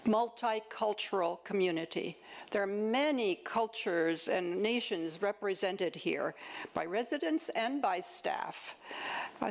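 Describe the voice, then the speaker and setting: Slow-paced, creaky-voiced woman leading a group. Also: medium pitch. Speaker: speaker leading audience discussion. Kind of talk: interactive informal presentation.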